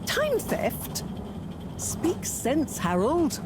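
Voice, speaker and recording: An actress playing a brisk, sharp-tongued woman scientist in a video game, theatrical and emphatic. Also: high-pitched, wildly swooping pitch, background noise, exaggerated voice. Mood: incredulous.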